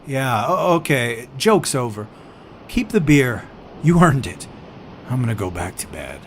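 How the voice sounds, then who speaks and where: A man acts a bewildered, skeptical everyman in an audio fiction story. The voice is medium in pitch and halting with disbelief, over faint background noise. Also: sing-song. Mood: fed up. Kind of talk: audio fiction character acting.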